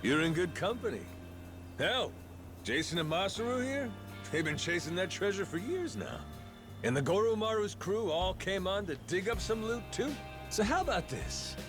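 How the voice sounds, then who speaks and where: A man's voice: high voice, big pitch swings, normal speed. A man playing a brash, playful middle-aged pirate captain with a folksy, slangy drawl in a video game. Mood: amused, hearty. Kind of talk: video game cutscene acting. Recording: loud music behind the voice.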